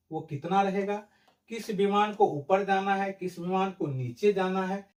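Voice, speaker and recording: A man giving an explanatory lesson in a didactic, step-by-step way. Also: high voice. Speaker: educational presenter. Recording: roomy echo, distant microphone.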